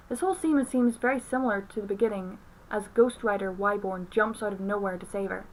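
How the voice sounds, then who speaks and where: A woman's voice: fairly high pitch, fairly bright tone, a little hiss or hum, rough recording. A young adult woman narrating a scripted film review as the reviewer.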